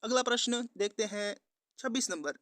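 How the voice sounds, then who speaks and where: A man's voice: high-pitched, normal speed, a bit bright, no echo, studio quality. A man teaching an exam-prep lesson, methodically reading out quiz questions and giving the answers in a repetitive instructional pattern.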